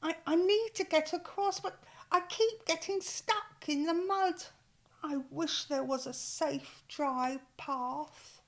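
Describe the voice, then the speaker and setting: A woman voicing a worried dog stuck beside a bog, talking in a hurried, anxious plea. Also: extremely high pitch, pitched above the performer's own voice, full character voice.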